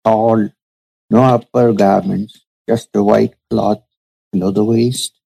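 Gravelly, husky man, slow and measured. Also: fairly low pitch, steady tone, warm tone, low-quality recording. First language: Urdu. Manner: slow, measured storytelling with pauses. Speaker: spiritual teacher. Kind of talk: reflective storytelling in an interview.

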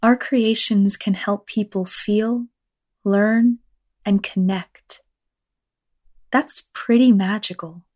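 A woman leading a guided meditation in a measured, unhurried manner, reading scripted lines with long pauses. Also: mid-range voice, steady tone, darkish tone. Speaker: meditation guide, actor and teaching artist.

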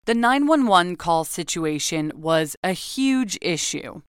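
Soft-spoken woman podcast co-host. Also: fairly low pitch, animated, slow, smooth, no room sound, clean studio sound. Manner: soft, earnest delivery. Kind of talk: true crime podcast narration and listener shout-outs.

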